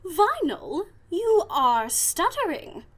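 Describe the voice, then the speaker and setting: High-pitched, prim female cartoon pony co-host, put on by a woman, halting mock radio banter, swooping intonation. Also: big pitch swings, a bit bright, full character voice. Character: prim pony co-host, a faked stand-in for an absent friend. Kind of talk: comic audio drama, mock radio banter.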